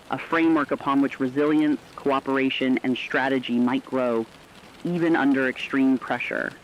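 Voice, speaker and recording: A man playing a solemn, measured radio news anchor delivering formal scripted commentary during an apocalypse. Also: mid-range voice, close to an everyday voice. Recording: dull lo-fi audio with rain noise.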